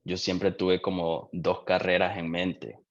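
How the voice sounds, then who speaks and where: Young Honduran man, very low voice, lo-fi muffled sound, thoughtful interview guest. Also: level tone. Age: young adult. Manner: reflective, unhurried, with frequent restarts. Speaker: guest, doctoral student. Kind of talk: casual interview answers.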